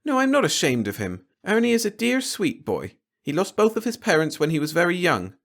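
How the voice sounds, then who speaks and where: A man's voice: high-pitched, huge pitch swings, a little fast, a bit bright, pitched above the performer's own voice, exaggerated voice. A man voicing a spoiled, theatrical young woman of nearly twenty in a storybook reading. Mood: indignant, pleading. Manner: breezy, theatrical.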